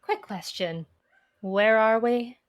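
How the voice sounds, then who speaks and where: A woman's voice: fairly high pitch, slow, dull audio. A woman reading aloud as a wary, sardonic fugitive in a visual novel, with a dry, confrontational manner. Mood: wry, suspicious. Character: wary, sardonic fugitive who sizes people up. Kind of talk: amateur visual novel read-aloud acting.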